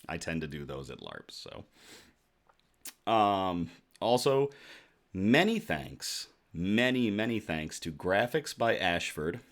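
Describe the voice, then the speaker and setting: A man hosting a casual livestream, chatting to viewers in a jovial, effusive way, his voice slightly rough from shouting. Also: low voice, big pitch swings, slow pace. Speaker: host of a casual news and beer livestream.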